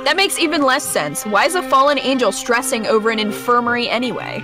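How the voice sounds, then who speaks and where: A woman's voice: on the high side, fast talker, over music. A young woman co-hosting a gameplay video, chatting casually and reading visual novel text aloud. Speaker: gaming video co-host.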